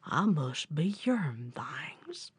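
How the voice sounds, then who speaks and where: Lady doing a big dopey friendly boy bear, low n breathy, slow drawl, storybook style. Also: sing-song, no echo, full character voice. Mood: puzzled. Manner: slow, simple, rustic folk speech. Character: slow, gullible, good-natured male bear. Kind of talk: animated folk-tale storytelling.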